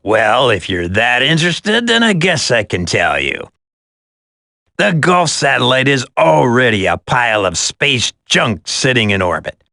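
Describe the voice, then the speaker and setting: A man's voice: medium pitch, sing-song, measured pace, gravelly, sharp tone, no room sound, studio quality, full character voice. A man playing a smug, sadistic terrorist villain in a video game, with a taunting, drawling delivery.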